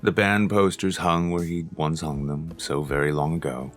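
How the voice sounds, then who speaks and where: Guy narrating a sci-fi audiobook, real low voice, calm and even, faint music behind. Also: normal speed.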